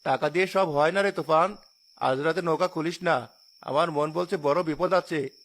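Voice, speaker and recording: A man's voice: fairly high pitch, moderate pace, fairly bright tone. A man playing a devout, cautious boatman in a cartoon horror story, earnest and solemn. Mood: solemn warning. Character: devout, cautious boatman who reveres the river.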